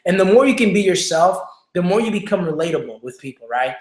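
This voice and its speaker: A man with a Mexican accent hosting a motivational livestream on his phone, talking fast and energetically and tagging each point with "right?" or "okay?". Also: sits high, lively intonation, a little mellow. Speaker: livestream show host. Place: home room without studio lighting. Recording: echoey room.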